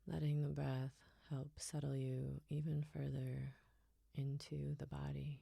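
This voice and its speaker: A woman guiding a relaxation meditation in a soft, soothing, unhurried way, her phrases often trailing off breathily toward a whisper. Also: extremely low pitch, flat delivery, gravelly, husky, dry recording. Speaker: yoga nidra guide.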